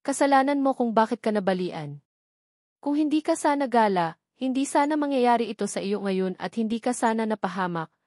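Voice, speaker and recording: A woman's voice: fairly high pitch, animated, brisk, a little mellow, no room sound, studio quality, lightly acted. A woman voicing a stern, worried, possessive rich husband in a narrated romance story. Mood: angry.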